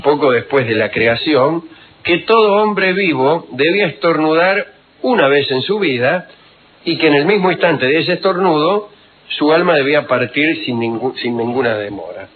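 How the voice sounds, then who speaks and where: A man's voice: on the high side, lively intonation. Wry man telling old anecdotes. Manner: unhurried, wry, digressive, with many fillers. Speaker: radio host telling historical anecdotes. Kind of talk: rambling storytelling on radio. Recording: tinny phone-like radio recording.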